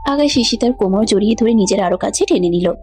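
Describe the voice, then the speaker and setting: A woman's voice: mid-range voice, expressive, quick pace, dark tone, soft background music. A woman giving scripted narration of a romantic story.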